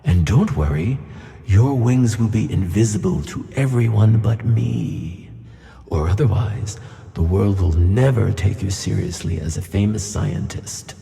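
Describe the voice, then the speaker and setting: A man playing a gentle, wise magical tuna fish who reassures his friend in a children's audio play. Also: on the low side, a little slow, vocal fry, long reverb tail, soft background music. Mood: reassuring.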